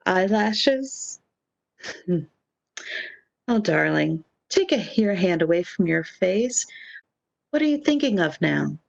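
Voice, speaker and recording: A woman's voice: lowish voice, big pitch swings, takes it slowly, vocal fry, long pauses, heavily acted. A woman playing a devoted, reassuring lover in a radio romance, speaking soothingly and affectionately on a dull lo-fi microphone. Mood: fond, coaxing. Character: devoted, tender, reassuring woman, long-lost lover returned. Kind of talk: old-time radio romance acting.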